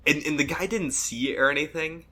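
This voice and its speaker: A man's voice: fairly high pitch, fairly quick, sharp tone. A young man co-hosting a casual podcast, telling stories with frequent laughs and acted-out quotes. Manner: storytelling, laughs often, acts out quotes. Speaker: podcast co-host. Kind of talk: casual chat.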